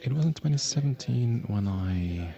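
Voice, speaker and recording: A male parliamentarian whose first language is Italian, answering on a panel and searching for words in a halting, apologetic way. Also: medium pitch, expressive, creaky, mellow, lo-fi sound.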